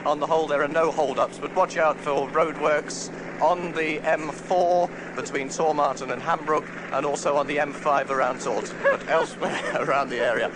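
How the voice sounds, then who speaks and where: A man giving a halting radio traffic bulletin. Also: fairly high pitch, fairly even intonation. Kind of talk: traffic bulletin, supposedly from a helicopter. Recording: loud helicopter-like engine noise. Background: vehicle noise.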